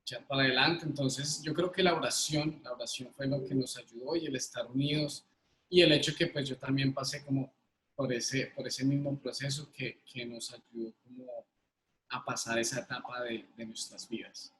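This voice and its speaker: A man in his thirties with a Colombian accent, telling personal stories casually and haltingly, often repeating words. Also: medium pitch, fairly even intonation, fairly bright tone, a little hiss or hum. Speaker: interview guest. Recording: roomy, echoing sound.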